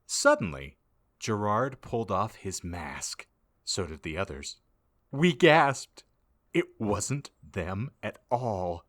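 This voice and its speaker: A man's voice: fairly low pitch, very animated, slow, a bit bright. A man reading a story aloud as a podcast host, theatrical and mock-dramatic with heavy emphasis. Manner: theatrical, heavily emphatic, swinging in volume. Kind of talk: mock-dramatic comedic reading of fan fiction.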